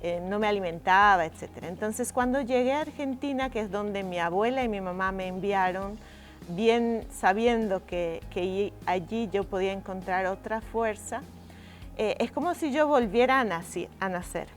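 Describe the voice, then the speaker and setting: A middle-aged woman from Venice, a native Italian speaker, talking reflectively as an interviewed writer, expressive and often pausing to search for words. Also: mid-range voice, faint music behind. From Venice, Italy.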